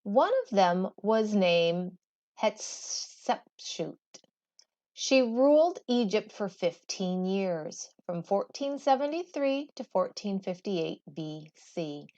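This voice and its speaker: Lady teacher doing a read-aloud for kids, takes her time, really animated pitch, kinda muffled audio. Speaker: teacher reading a textbook aloud. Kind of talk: expressive read-aloud for schoolchildren.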